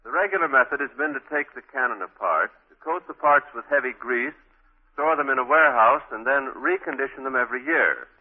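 A man reading a scripted sponsor message as a formal, deliberate 1940s radio announcer. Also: medium pitch, expressive, breathy edge. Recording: muffled 1940s radio broadcast.

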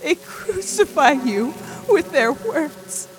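A woman's voice: high voice, lively intonation, takes it slowly. A woman playing an ominous, commanding prophetic voice in audio horror drama, solemn and incantatory with archaic phrasing.

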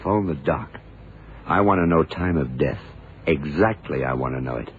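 A dull, noisy old radio recording carries a very deep male voice: a shrewd detective in a radio mystery. He is calm and sure of himself, laying out each point deliberately and with firm emphasis. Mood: intent puzzling. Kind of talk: 1970s radio drama acting.

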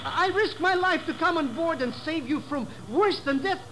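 An actor playing a bumbling, cowardly sidekick in a 1950s radio drama, theatrical, blustering and prone to stammering. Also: male voice, extremely high pitch, normal speed, pushed and bright. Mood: indignant. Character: bumbling, cowardly comic sidekick, bragging then pleading. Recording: noisy old 1950s radio recording.

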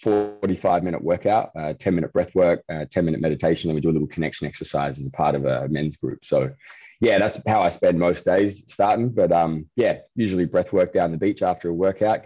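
Husky, low-voiced Australian man. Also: fairly quick, warm tone, no room sound, highs cut off. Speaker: podcast guest, men's mentor. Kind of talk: casual interview chat.

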